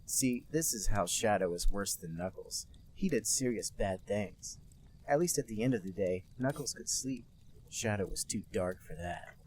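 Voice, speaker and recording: A man co-hosting a comedy podcast, reading a bad fan story aloud in a deadpan voice and adding mocking asides. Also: fairly low pitch, moderate pace, a little hiss or hum.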